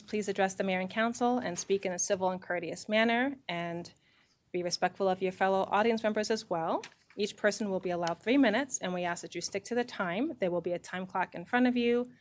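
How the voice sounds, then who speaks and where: A woman mayor chairing a city council meeting, calling speakers and reading procedural rules politely. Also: mid-range voice.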